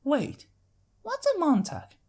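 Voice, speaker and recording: A woman voicing an excitable, wide-eyed little girl pony with chirpy, childlike enthusiasm in a story reading. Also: sits high, slow pace, pitched above the performer's own voice, heavily acted. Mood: puzzled.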